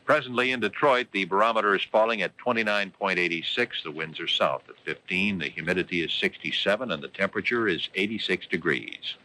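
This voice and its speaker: A man's voice: deep voice, fairly quick, hardly any pauses, sharp tone, slight background noise, rough recording. An American man reading scripted news and weather on local radio in a formal, emphatic newscaster's delivery. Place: radio studio.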